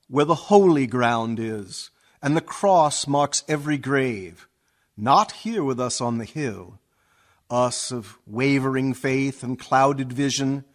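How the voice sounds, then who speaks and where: A man's voice: mid-range voice, natural voice. A man acting a doubting townsman who fondly mourns a village priest in an elegiac verse monologue for a radio play. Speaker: actor in a community theatre radio play.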